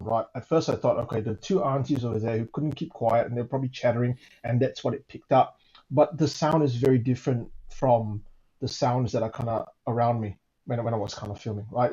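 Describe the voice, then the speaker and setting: A man with a medium-pitched voice tells a personal account in a casual, conversational way. He often doubles back on words and checks in with short tag questions as he explains. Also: a little mellow, dull audio. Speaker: guest recounting his own recording.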